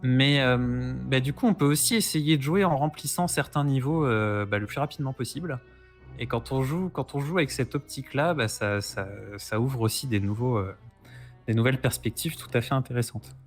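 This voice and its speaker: A man's voice: medium pitch, normal speed. A man chatting casually as a podcast guest, explaining retro games with keen interest. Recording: music playing behind the voice.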